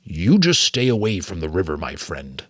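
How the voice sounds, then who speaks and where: A man voicing a grave, weary, thoughtful old mentor in an audiobook, speaking in a deliberate, solemn way. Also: sits low, sing-song, breathy edge, dry recording, heavily acted. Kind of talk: audiobook character reading.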